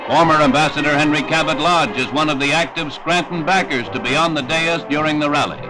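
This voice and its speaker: A man's voice: a little high, fairly quick, poor audio. A man with an American accent reading scripted 1960s newsreel narration in a formal announcer's delivery. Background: music.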